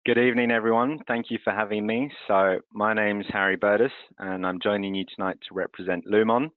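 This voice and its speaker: A man's voice: fairly low pitch, fairly even intonation, fairly quick, dry recording. A man from France presenting a webinar on a video call, explaining things in a fluent, businesslike way. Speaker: webinar host, currency transfer representative. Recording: tinny video call audio.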